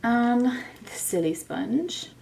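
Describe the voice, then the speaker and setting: A woman in her twenties chatting casually through a makeup first impression, a little hoarse from being sick, with lots of filler words. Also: fairly high pitch, expressive, takes it slowly, a little mellow, a little hiss or hum, rough recording. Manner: chatty, self-deprecating, lots of "like". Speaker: beauty vlogger. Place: bedroom, indoors.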